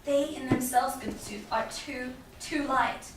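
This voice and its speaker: A woman's voice: sits high, a little slow. A woman playing a spirited, lovestruck young daughter in a staged Shakespeare reading, with an eager, theatrical delivery. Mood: eager, coy. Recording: very echoey room, distant, clear noise.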